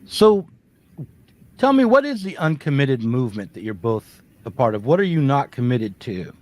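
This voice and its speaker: A man hosting an interview, low-key, asking short questions. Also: big pitch swings, moderate pace, a little mellow. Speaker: host.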